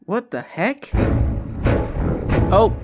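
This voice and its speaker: A woman hosting a reaction video, giving casual commentary in short, halting phrases. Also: mid-range voice, lively intonation, a little mellow, faint music behind.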